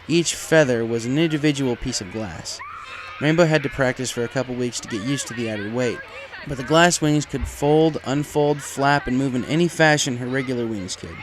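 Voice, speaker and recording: A man's voice: medium pitch, a little hiss or hum. A man reading a fan fiction story aloud as a scripted narration. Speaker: story reader. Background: music.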